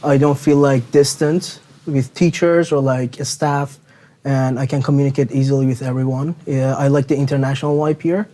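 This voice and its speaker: A man's voice: medium pitch, steady tone, moderate pace, warm tone. A young man from Kuwait, chatting casually as a student guest on a panel. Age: young adult.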